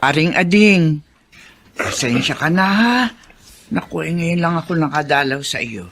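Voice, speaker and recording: A man's voice: fairly high pitch, a little slow, a bit bright, soft background music. A man playing a chatty, folksy older family friend with a Filipino accent in a radio drama. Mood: friendly, apologetic.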